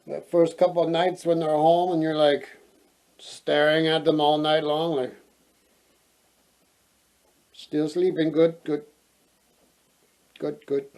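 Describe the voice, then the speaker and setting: A man with a fairly high, mellow voice hosts a storytime. He reads at an unhurried pace and playfully drifts into casual asides to his listeners. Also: rough recording. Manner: playful, digressive, expressive reading. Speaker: storyteller host.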